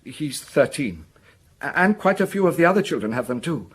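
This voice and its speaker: An actor playing an anxious, defensive village schoolmaster in a radio drama, earnest and hesitant, stumbling over repeated words. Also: male voice, a little high, big pitch swings, moderate pace, slightly rough. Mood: nervous, defensive.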